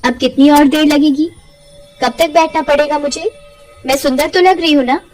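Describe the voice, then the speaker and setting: A woman's voice: high voice, normal speed, darkish tone, faint music behind, lightly acted. A woman voicing a vain, demanding young female customer in an audio story. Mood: impatient, vain.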